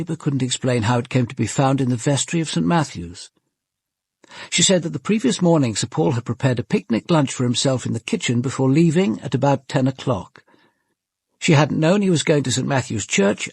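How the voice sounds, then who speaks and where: An actor playing a composed, observant police commander with an English accent, in measured, formal radio drama narration and questioning. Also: male voice, medium pitch, slightly rough, no room sound, clean studio sound. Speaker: detective narrator.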